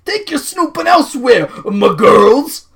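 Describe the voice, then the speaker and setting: A woman's voice: a little high, big pitch swings, a little slow, vocal fry, husky, voice pushed up, exaggerated voice. A woman voicing a scolding, bossy male security guard in a comic storybook reading. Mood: indignant.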